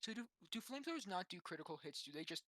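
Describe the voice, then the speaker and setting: Bright, animated tabletop game player. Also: mid-range voice, rapid-fire, dry recording, studio quality. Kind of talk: casual gaming chat.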